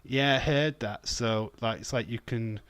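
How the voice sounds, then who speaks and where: A man's voice: medium pitch, a little slow, a bit bright, dry recording. A man with a thick Yorkshire accent chatting casually on a livestream, jokey and prone to swearing. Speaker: wrestling watch-along streamer.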